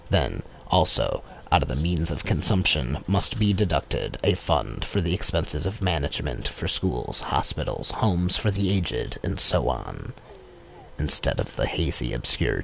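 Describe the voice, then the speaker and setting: A man reading a political text as an audiobook in a measured, formal way. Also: extremely low pitch. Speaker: audiobook reader. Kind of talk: scripted narration of a political text. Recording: clear background noise.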